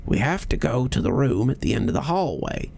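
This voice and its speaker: A man's voice: medium pitch, sing-song, brisk, creaky, a little mellow. A man voicing a small, big-mouthed creature in a horror story, a secretive, coaxing, childlike playmate. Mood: eager, conspiratorial.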